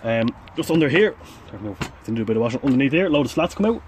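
A man's voice: mid-range voice, expressive, rapid-fire, darkish tone. An Irish man in his thirties chatting casually to a handheld camera, rambling in a playful, informal, sweary way. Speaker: vlogger. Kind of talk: casual, rambling vlog chat. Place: mostly outdoors by a parked van. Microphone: handheld camera or phone. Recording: outdoor handheld recording with faint noise.

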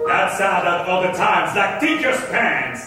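A vengeful, scheming old-world merchant declaims stage verse with slow, drawn-out grandeur. The voice is high and ringing, heard in a very echoey concert hall. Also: male voice, sing-song, far from the mic, music in the background. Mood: gloating. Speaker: stage actor. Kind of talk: theatrical staged reading of Elizabethan verse. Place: on stage in a wooden recital hall. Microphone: distant stage microphones.

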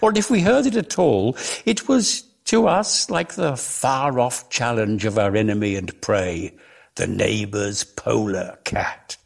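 A man giving a dramatic reading of a literary story in a measured, theatrical way, lingering on his words. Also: fairly low pitch, vocal fry. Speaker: reader.

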